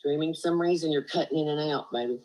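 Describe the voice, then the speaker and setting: A woman's voice: deep voice, fast talker, mellow, low-quality recording. A woman with a Southern US accent talking earnestly on a group video call, rambling with lots of fillers and "you know". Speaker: panelist on a live stream call. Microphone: video call, laptop or phone mic.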